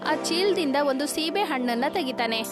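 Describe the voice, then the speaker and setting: Woman storyteller for kids cartoon, high voice, scripted, music in background. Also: animated, normal speed. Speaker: narrator. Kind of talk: scripted children's story narration.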